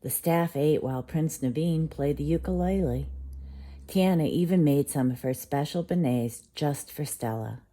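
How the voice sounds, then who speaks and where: A woman reads a bedtime storybook aloud to a child in a low, mellow voice. Her delivery is gentle and unhurried throughout.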